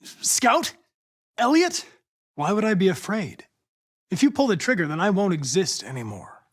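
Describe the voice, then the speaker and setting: A polite, determined young male android investigator for a video game. His voice sits fairly high for a man and swings widely in pitch. He speaks in formal, exact, controlled phrases, set on his police mission. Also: big pitch swings, moderate pace, no echo, clean studio sound. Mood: tense, then calm, controlled. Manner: formal, precise, controlled diction.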